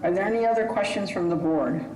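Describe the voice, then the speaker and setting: A woman chairing a public zoning hearing, brisk and businesslike. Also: mid-range voice, a little mellow, slight background noise. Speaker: board chair. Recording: echoey call audio.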